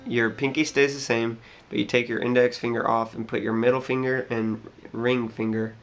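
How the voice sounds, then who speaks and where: A man's voice: medium pitch, level tone, moderate pace. A young man with a slightly scratchy voice from a cold, hesitantly explaining a guitar part with many ums and restarts. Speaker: guitar teacher. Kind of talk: casual guitar tutorial. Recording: guitar playing throughout.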